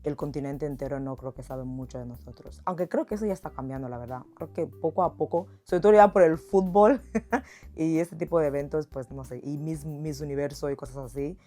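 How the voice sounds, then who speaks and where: Female interviewee, very low pitch, mellow and soft-edged, casual storytelling over faint music. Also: a little fast. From Equatorial Guinea. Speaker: documentary interviewee. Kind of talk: casual interview talk with anecdotes. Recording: music under the voice.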